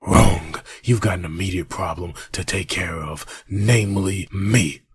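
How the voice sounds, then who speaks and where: A man voicing a grim, defiant undead male antihero in a fan comic dub, terse and gruff. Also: sits low, a little slow, no room sound, full character voice.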